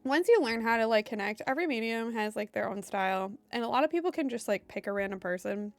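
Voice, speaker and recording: A woman live-streaming as a medium, chatting casually with her viewers in a meandering way, her voice hoarse and giving out at times. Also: a little high, expressive, quick pace, dry recording, soft background music. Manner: chatty and meandering, heavy on fillers like "um" and "like".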